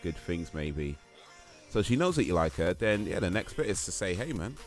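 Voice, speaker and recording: A man's voice: deep voice, big pitch swings, normal speed, faint music behind. A man hosting a livestream, chatting casually with viewers and reading quiz statements aloud, rambling and hesitant with lots of fillers and restarts. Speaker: livestream host.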